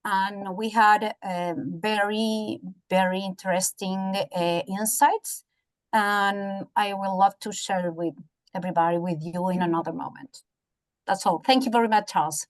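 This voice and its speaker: A woman from Chile with a Chilean accent and native Spanish, giving halting feedback as a university educator on a video call, searching for words. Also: mid-range voice, steady tone. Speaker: university educator, audience member commenting. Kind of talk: spoken feedback in an online academic discussion.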